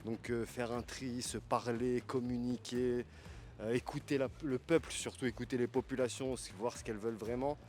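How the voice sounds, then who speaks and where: A man's voice: mid-range voice, little pitch movement, moderate pace, a little mellow. A man passerby answering a street interview politely, with hesitant, hedging speech. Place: outdoors on a city street.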